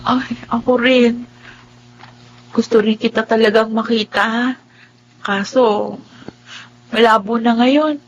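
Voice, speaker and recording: A woman playing a sweet, playful but resigned blind young wife in a Filipino radio drama, with expressive delivery. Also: a little high, slow pace, long pauses, dull audio, a little hiss or hum. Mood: resigned, gentle.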